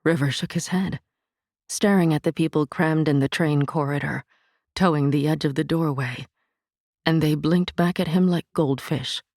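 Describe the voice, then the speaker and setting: A woman narrating a literary novel in a measured, vivid storytelling manner on a studio mic. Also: deep voice, darkish tone, dry recording. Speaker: audiobook narrator.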